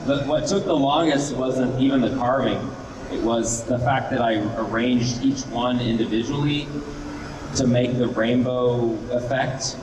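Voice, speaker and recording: A man's voice: mid-range voice, steady tone, a little slow. Laid-back rambling man in an echoey room. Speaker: guest wood carver and video maker. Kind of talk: casual live demonstration and question answering. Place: large indoor event hall. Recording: echoey noisy event room, distant microphone.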